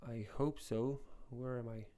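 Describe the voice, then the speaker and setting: A young Polish-accented man talks through his screen tutorial in a loose, rambling way, restarting his sentences over and over. His voice is mellow and smooth, and his pitch stays fairly flat. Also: a little slow. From Poland. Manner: rambling, many restarts and fillers. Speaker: web development tutorial host. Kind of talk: casual screen-recorded tutorial talk.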